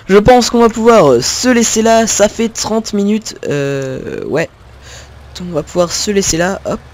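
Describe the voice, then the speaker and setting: A young man from northern France, speaking in a fairly high voice over a desktop mic. He rambles through casual gameplay commentary, full of hesitations and small laughs at himself. A faint hum of a tractor engine sits in the background. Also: a little mellow. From Picardy, northern France. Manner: chatty and rambling, many fillers, laughs at himself. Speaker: gaming video creator.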